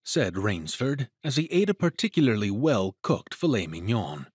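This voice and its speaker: A man narrates a dramatic story in a husky, mid-pitched voice. His delivery is very expressive, in a close, dry recording. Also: normal speed, no room sound.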